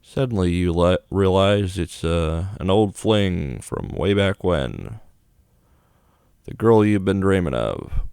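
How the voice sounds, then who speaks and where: Deep-voiced man casually narrating a game. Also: lively intonation, slow pace, vocal fry, a little mellow, smooth, no room sound, studio quality. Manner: loose, offhand paraphrasing of on-screen story. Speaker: gaming video host. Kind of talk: casual narrated first look of a visual novel. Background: video game music.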